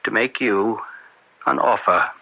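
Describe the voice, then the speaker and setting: An actor playing a middle-aged political fixer in an old-time radio drama, persuasive and polished, with a slick, insinuating way of talking. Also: male voice, lowish voice, slow, vocal fry, sharp tone, no echo, full character voice, rough recording. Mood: coaxing. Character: smooth-talking political fixer, persuasive and slippery family friend.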